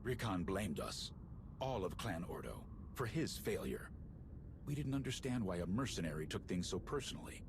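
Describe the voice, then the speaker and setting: A man playing a wise, solemn Mandalorian warrior in a video game, speaking gravely and formally. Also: sits low, background noise. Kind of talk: video game cutscene acting.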